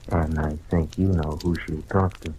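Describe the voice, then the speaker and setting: Low-voiced small-time blackmailer, 1940s-style radio noir, faint recording noise. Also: male voice, level tone, moderate pace, warm tone. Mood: wry, smug. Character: small-time blackmailer, smug then desperately pleading for his life. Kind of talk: old-time radio detective drama acting. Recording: noisy old radio recording.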